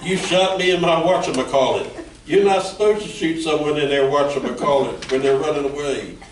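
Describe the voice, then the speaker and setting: An amateur actor playing a comically melodramatic wounded villain in a live radio play. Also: male voice, on the high side, brisk, close to an everyday voice. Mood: mock dying. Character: gun-shot robber, comically melodramatic dying villain. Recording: distant echoey room, faint noise.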